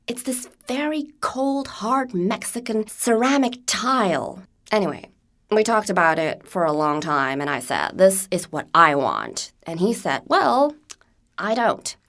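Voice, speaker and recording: A woman's voice: mid-range voice, very animated, unhurried, a bit bright. A woman playing a wistful, candid American woman in a conversational, confiding dramatic monologue about her own life. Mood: insistent, hurt.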